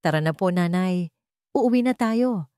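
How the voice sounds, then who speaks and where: A woman with a Filipino accent voicing a kind, dignified male company chairman in a dramatic story, earnest and measured. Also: fairly low pitch, expressive, mellow, smooth, no echo, studio quality. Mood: tender.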